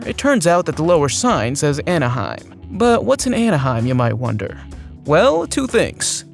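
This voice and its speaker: A man reads scripted video narration in a clearly enunciated, storyteller-like way. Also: fairly high pitch. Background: background music.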